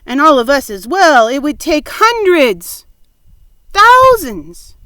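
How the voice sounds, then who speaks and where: A woman's voice: sits high, big pitch swings, slow, a bit bright, no room sound, pitched above the performer's own voice, heavily acted. A woman doing a kindly old grasshopper. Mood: earnest.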